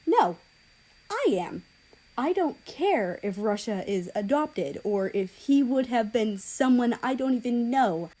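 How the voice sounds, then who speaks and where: A woman's voice: on the high side, animated, slow pace, mellow, voice pushed up, poor audio. A woman voicing an indignant, fiercely loyal teenage girl in an audiobook story, emphatic and offended. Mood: indignant, firm.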